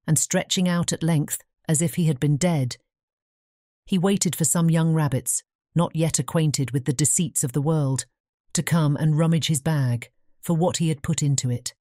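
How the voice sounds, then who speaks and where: A woman's voice: low-pitched, mellow, dry recording, studio quality. A woman with a British English accent reading scripted fairy-tale narration.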